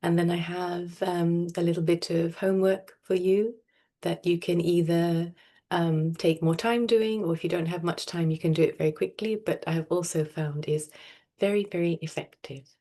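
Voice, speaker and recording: Soft low voiced lady doing a guided meditation, very even tone, slow pauses. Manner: soft, soothing, unhurried with long pauses. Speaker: meditation teacher.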